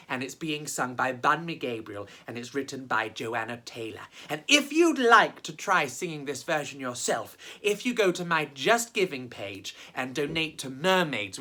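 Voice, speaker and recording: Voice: medium pitch, sharp tone. A storytime host presenting to children in an effusive, theatrical, sing-song manner.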